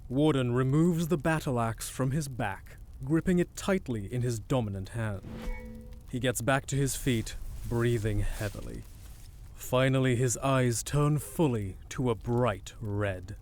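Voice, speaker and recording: A man narrating an audio drama from a script in a measured, descriptive storytelling manner. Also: mid-range voice, darkish tone, faint music behind.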